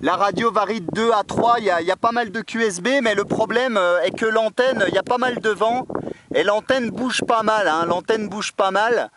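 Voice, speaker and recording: A man with a distinctly high voice talks as a radio operator, tagging his phrases with little checking words. Clear noise, a rumble with clicks, sits behind him as he chats through the contact. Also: normal speed. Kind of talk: radio contact chat, spelling out call signs.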